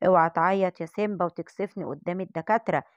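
A woman's voice: on the low side, racing, no echo, dull audio, pitched below the performer's own voice, close to an everyday voice. A woman doing a proud lion king father. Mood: coaxing. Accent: Egyptian Arabic. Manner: boastful, coaxing.

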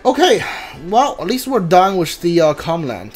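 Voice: mid-range voice, big pitch swings, moderate pace. A video game streamer giving casual playthrough commentary, thinking aloud and muttering reactions while playing. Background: game music.

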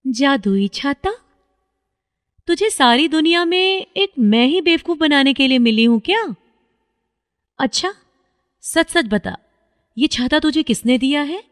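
High-pitched older village mother, acted by a woman. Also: expressive, a little slow, pauses often, no room sound, studio quality, in a large hall. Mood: skeptical scolding. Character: poor, weary, skeptical older village mother.

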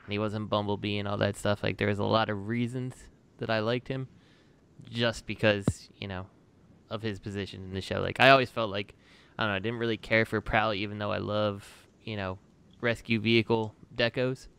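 A man's voice: on the low side, fairly even intonation, brisk, creaky, a bit bright, smooth. A man talking casually as a panelist on a podcast, explaining his own opinions. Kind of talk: casual chat.

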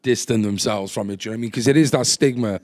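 A man's voice: lowish voice, rapid-fire, a little mellow, dry recording. A man with a Cardiff Welsh accent hosting a podcast, asking laid-back, slangy questions. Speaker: podcast host. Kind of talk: casual podcast interview.

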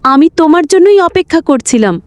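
A woman's voice: very high voice, expressive, normal speed, dry recording, voice pushed up. A woman voicing an eerie female ghost bride who pleads sweetly and plaintively to marry, in a storybook horror tale. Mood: sweet, longing.

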